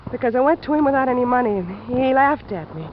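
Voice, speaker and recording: An actress playing a desperate, fatalistic young woman in a 1950s American radio drama, halting and intense. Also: a little high, lively intonation, close to an everyday voice. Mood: anguished. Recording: noisy, muffled vintage radio recording.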